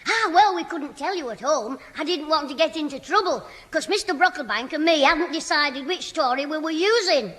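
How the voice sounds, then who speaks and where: Cheeky, tactless schoolboy, bright high voice, old radio sitcom style. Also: male voice, expressive, moderate pace, hardly any pauses, full character voice. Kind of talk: 1970 radio sitcom acting. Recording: old reverberant radio broadcast recording.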